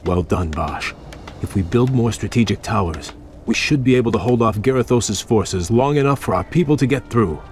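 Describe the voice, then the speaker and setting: A man voices a proud elven prince of a fantasy game. His voice is very low and his speech formal and measured, full of resolve. Loud music plays underneath. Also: animated, natural voice. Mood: resolute. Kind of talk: dramatic fantasy game acting.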